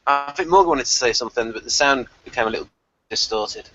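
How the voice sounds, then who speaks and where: Guy hosting a casual panel chat, sharp bright voice, talks with emphasis, kinda dull lofi sound. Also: medium pitch, quick pace, slight background noise. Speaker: host of a TV show review discussion.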